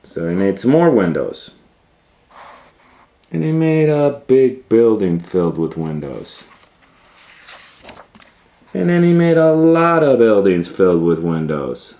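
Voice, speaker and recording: A man's voice: fairly low pitch, big pitch swings, slow pace, long pauses, dark tone. A man reading a children's storybook aloud as a storytime host, retelling it informally with musing asides. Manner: improvised asides and musing hums.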